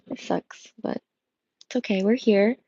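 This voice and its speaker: A woman's voice: medium pitch, fairly even intonation, a little slow, mellow, husky, no room sound, lo-fi sound. A young woman chatting casually as a tarot reader, her voice worn and strained, rambling with many fillers and restarts. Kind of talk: casual livestream chat. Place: home room with coffee machine. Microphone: earbud headset mic.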